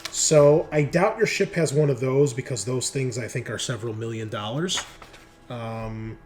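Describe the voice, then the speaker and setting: A man's voice: mid-range voice, normal speed, darkish tone. A man running a tabletop game as game master, casual and easygoing, thinking aloud as he narrates and makes rulings.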